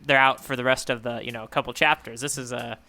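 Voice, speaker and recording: A man's voice: mid-range voice, fairly even intonation, a little fast, gravelly, pushed and bright. A man co-hosting a podcast in casual chat, thinking aloud as he goes. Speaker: podcast co-host. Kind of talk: casual chat, manga readalong discussion.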